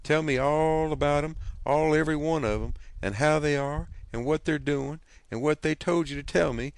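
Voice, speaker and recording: A fussy, excitable older farm wife, as a man puts her on, her voice pitched up high and gushing in quick breathless runs. Her tone has a gravelly edge, and the sound is dry and close. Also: dry recording, natural voice. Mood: eager.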